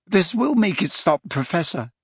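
A man voicing a frightened teenage boy wizard in an audiobook, urgent and coaxing with a strained, breathy voice. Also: sits high, big pitch swings, measured pace, sharp tone, no echo, studio quality, voice pushed up, exaggerated voice. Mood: strained reassurance.

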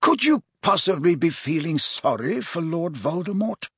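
A man voicing an elderly wizard headmaster for an audiobook, grave but kindly, measured and formal in his phrasing. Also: fairly high pitch, sing-song, fairly bright tone, breathy edge, no room sound, clean studio sound, voice pushed up, exaggerated voice. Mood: gently admonishing. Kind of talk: audiobook character voice.

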